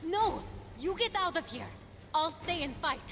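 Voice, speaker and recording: A woman's voice: very high-pitched, expressive, measured pace, background noise, heavily acted. A woman playing a plucky, eager young warrior heroine in a video game, spirited and earnest. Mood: defiant. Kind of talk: video game cutscene acting.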